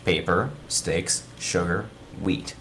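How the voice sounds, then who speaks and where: A man's voice: sits low, slow pace, fairly bright tone, a little hiss or hum. A man reading a fan fiction story aloud informally, stumbling over words and correcting himself. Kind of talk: informal read-aloud narration among friends.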